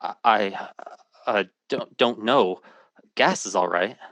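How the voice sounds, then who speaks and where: An actor playing a frightened, protective husband and father in an old-time radio drama, urgent and halting. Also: fairly low pitch, very noisy. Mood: worried.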